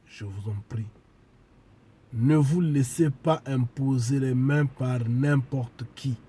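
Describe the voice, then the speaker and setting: A man with a cold preaches slowly and deliberately, his voice husky and mellow. He stresses his points and often repeats key phrases. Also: mid-range voice. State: congested with a cold. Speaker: spiritual preacher. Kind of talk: video sermon to followers.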